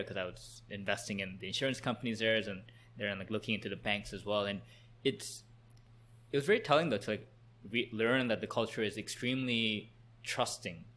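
A man's voice: fairly low pitch, a bit bright, a little hiss or hum. A man from Canada hosting a casual interview podcast, talking in a chatty way with many "like" fillers. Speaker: podcast host.

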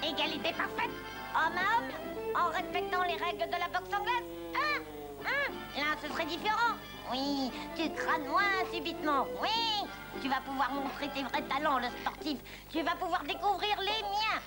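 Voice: very high-pitched, animated, normal speed. An actor voicing a cocky, scheming little cartoon duck with a mocking, needling, theatrical delivery. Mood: taunting. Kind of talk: French-dubbed cartoon acting. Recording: old cartoon soundtrack with loud music.

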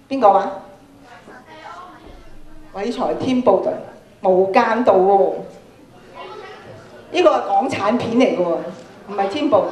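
In a very reverberant hall, a woman with a Hong Kong Cantonese accent addresses schoolchildren over a microphone. Her voice sits a little high. She lectures interactively, often tagging her points with questions to the listeners. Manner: questioning, prompts listeners with frequent tag questions. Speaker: workshop speaker, radio programme producer. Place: large school auditorium, indoors. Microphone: handheld microphone, filmed from audience. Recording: echoey hall sound from PA.

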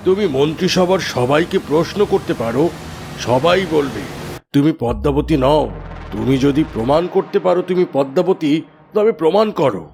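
Commanding royal minister, a man acting, slightly high voice. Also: expressive, normal speed, vocal fry, a little mellow, slight background noise. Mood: stern, scornful. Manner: formal, authoritative. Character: cunning royal minister, formal, commanding male schemer. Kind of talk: Bengali fairy tale cartoon acting.

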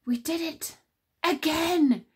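A woman voicing a boastful young boy prince who talks high and excited in a storybook reading. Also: takes it slowly. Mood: triumphant. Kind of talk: storybook character voice.

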